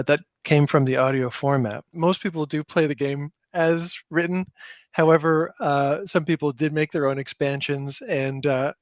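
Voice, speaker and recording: A man's voice: on the high side, dry recording. A middle-aged man talking as an interview guest, explaining his work in a casual, self-deprecating way. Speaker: podcast guest, tabletop game designer.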